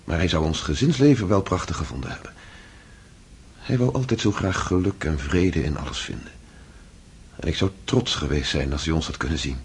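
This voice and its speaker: An actor playing an earnest, affectionate idealist husband in a radio drama, husky, thoughtful and hesitant. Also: male voice, a bass voice, lively intonation, moderate pace, heavily acted. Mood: reflective. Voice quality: breathy, husky. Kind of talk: intimate radio drama acting.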